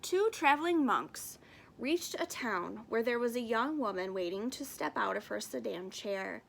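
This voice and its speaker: A woman reading a storybook aloud in an expressive narrating style with careful phrasing. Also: fairly high pitch, a little slow, vocal fry. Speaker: storybook reader.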